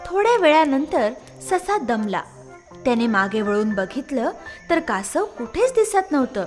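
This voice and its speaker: A woman's voice: sits high, very animated, measured pace, music in the background. A woman narrating scripted moral stories for children as a storyteller.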